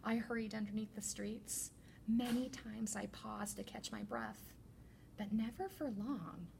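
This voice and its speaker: Lady librarian doing a kids read aloud, bit of fry in her voice, expressive, faint noise behind. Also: medium pitch. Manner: deliberate, expressive storytelling to children. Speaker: teacher librarian. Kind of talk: children's picture book read-aloud.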